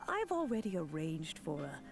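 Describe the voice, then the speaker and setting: A woman playing a gentle, dignified old granny immortal in a video game, with measured, old-fashioned phrasing. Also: deep voice, wildly swooping pitch, gravelly, faint music behind, full character voice. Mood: kindly, playful. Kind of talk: video game character dialogue.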